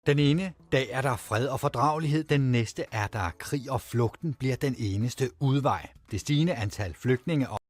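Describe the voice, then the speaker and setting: A man narrating a scripted news report in a steady, measured read. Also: mid-range voice. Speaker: news narrator in a clip played by the game.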